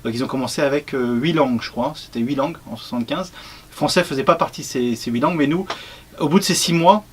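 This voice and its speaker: A man talks casually, presenting his radio team and the station's past. He pauses often to search for words. His voice sits in a middle register. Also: medium pitch, husky. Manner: relaxed, hesitant with many fillers. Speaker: radio program head.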